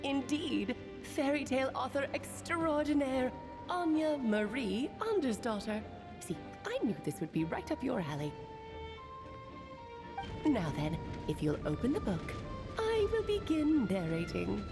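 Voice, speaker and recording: A woman's voice: high-pitched, big pitch swings, a little slow, exaggerated voice. A woman voicing a grand, playful female mage who narrates with dramatic storybook flourishes, in video game dialogue. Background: game music.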